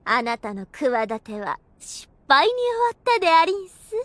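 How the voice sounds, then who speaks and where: Haughty undead girl, extremely high and swooping, scheming and theatrical, quaint courtly diction, anime audio drama. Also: very animated, unhurried, a bit bright, heavily acted. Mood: smug.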